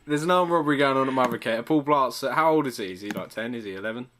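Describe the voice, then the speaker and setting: A man's voice: medium pitch, quick pace, fairly bright tone, slight background noise. A man with an English accent hosting a podcast in casual, joking banter. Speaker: podcast host.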